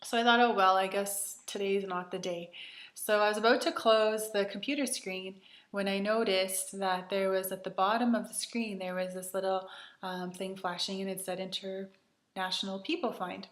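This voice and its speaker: Woman, thirties, mid-pitched, earnest chatty storyteller with lots of ums, recorded in an echoey room. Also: flat delivery, normal speed, fairly bright tone. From Canada. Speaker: retreat host. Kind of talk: personal storytelling to camera.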